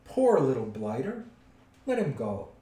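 Man doing a big loud Cockney troll, roomy sound. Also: mid-range voice, very animated, unhurried. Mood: dismissive pity. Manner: rough rustic dropped-aitch speech. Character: big slow-witted beer-swilling troll, blustering but soft-hearted. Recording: roomy, distant microphone.

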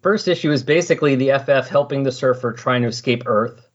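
A middle-aged man with a slightly husky voice hosting a casual comic book discussion in a chatty, explanatory way. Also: medium pitch, fairly quick. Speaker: podcast host. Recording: dull lo-fi voice recording.

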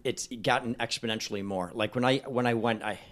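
A man's voice: mid-range voice, fairly quick, fairly bright tone. A man, a dentist, a guest on a casual podcast, explaining his training at length.

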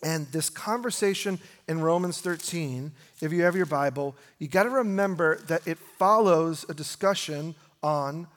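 A male American pastor with a slightly high voice preaches in a reverberant hall. His sermon is informal and conversational, built on rhetorical questions and phrases he repeats for emphasis. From United States. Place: large reverberant room, preaching live.

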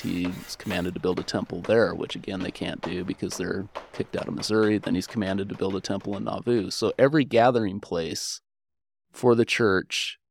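A man's voice: low voice, creaky. A man speaking as a historian guest, explaining religious history in a conversational way.

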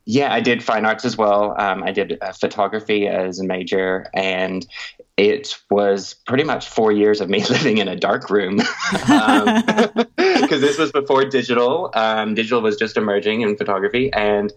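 A man's voice: on the low side, animated, normal speed. A man from Oklahoma, a podcast guest, talking casually about his life with many ums and easy laughs. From Oklahoma, USA. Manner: chatty, laughs easily, many ums and uhs. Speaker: podcast guest, quilt maker. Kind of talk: casual interview chat. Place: home in Sydney, remote call.

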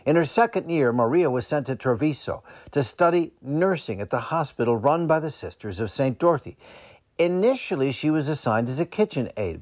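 A man's voice: medium pitch, a little mellow. A man reading scripted radio narration as an announcer. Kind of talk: scripted narration, radio weather and saint of the day.

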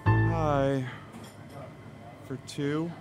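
An actor playing a deadpan, unimpressed restaurant host in a naturalistic audio drama, flat and matter-of-fact. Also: mid-range voice, very slow, over loud music. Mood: indifferent.

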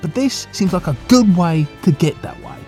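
A man's voice: high voice, big pitch swings, moderate pace, warm tone. An actor playing a nervous, reluctant young male sidekick in an audio drama, anxious and hesitant in his speech. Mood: anxious, doubtful. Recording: music under the voice. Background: music.

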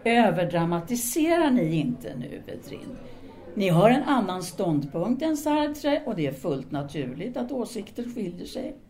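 A woman's voice: medium pitch, very animated, darkish tone. A woman playing a hard, scornful, self-possessed intellectual in an audio drama, with a cool, formal, cutting manner.

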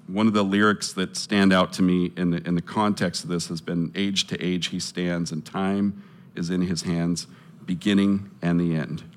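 A man with a low voice speaking earnestly to a live audience, sharing his testimony and appealing for support, with many pauses and restarts. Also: little pitch movement.

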